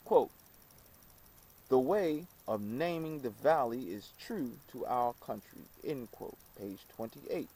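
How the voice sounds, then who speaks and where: Slow, mellow man reading aloud haltingly. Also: medium pitch, animated, breathy edge, low-quality recording. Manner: halting delivery, stumbling and repeating phrases. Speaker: reader. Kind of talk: scripted reading aloud of an old book.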